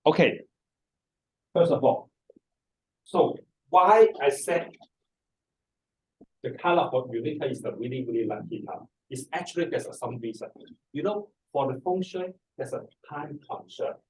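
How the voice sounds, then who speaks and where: A middle-aged or older man with a Hong Kong accent, speaking haltingly and repeating words as he presents an informal seminar. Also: mid-range voice, expressive. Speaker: feng shui master, seminar speaker. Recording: distant microphone in a room.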